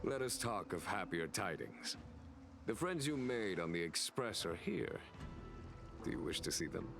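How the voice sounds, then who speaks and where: An actor playing a calm, burdened general of a fantasy realm in a video game, speaking in a measured, formal way. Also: male voice, sits low, gravelly, music in the background, heavily acted. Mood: composed.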